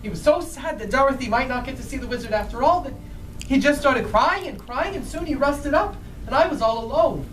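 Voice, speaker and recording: Woman as friendly Scarecrow telling children a story live; very lively intonation, distant and echoey in a room. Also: sits high, fairly quick, a little hiss or hum. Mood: dramatic storytelling. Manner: animated, asks the listeners questions. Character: female-voiced Scarecrow, friendly eager storyteller. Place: indoors in a bookstore. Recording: roomy live event, distant microphone.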